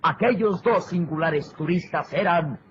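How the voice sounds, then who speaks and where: Grandiose narrator on noisy old radio. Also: male voice, a little high, unhurried, fairly bright tone. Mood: admiring, dramatic. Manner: declamatory, florid, dramatic. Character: grandiose male narrator of an adventure serial. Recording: noisy, muffled vintage radio recording. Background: music, sound effects and ambience.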